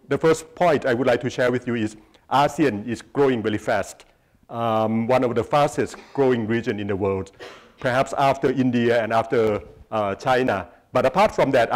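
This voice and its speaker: Guest lecturer: middle-aged man, Thai accent, medium pitch, pausing mid-phrase as he presents. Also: a little mellow. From Thailand. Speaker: guest speaker, research institute president. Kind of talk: public lecture with slides. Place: lecture hall, on stage before seated audience.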